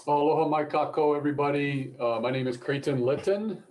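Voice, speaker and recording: A middle-aged man from Appalachia speaking as a professor on a panel, explaining things in a measured way. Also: a little high, steady tone, darkish tone. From Appalachia, mountains of North Carolina and Virginia. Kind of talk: informal panel talk and explanation.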